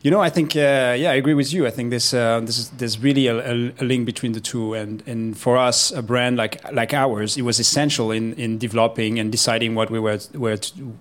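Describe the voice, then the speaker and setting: A man from Switzerland speaking as a guest in a live interview, explaining haltingly and repeating words. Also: medium pitch, steady tone. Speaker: watch brand guest. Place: live event in a menswear store.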